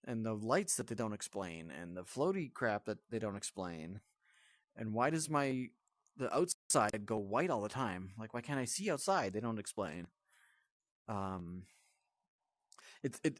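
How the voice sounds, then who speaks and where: A man's voice: lowish voice, no echo, clean studio sound. A man giving a casual, off-the-cuff game review as the channel's host, in a blunt, grumbling, exasperated manner.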